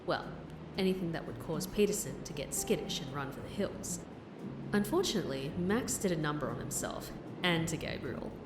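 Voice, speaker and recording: A woman's voice: lowish voice, lightly acted. A woman playing a breezy, friendly Australian secret agent in an audio drama, chummy and slangy, with a playful manner. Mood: wry. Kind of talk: audio drama acting with narration.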